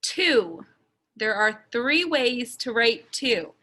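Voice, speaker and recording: Young woman, teacher, very slow, bright voice, sounds out letters, webcam at home. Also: fairly high pitch, very animated. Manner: sounds out words slowly, stretching letter sounds. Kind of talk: slow, step-by-step writing lesson for young children.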